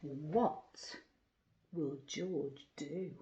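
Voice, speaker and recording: A woman reading a picture book expressively to young children, theatrical, asking the listener questions with hushed asides. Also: deep voice, very slow, dull audio. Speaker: primary school storyteller.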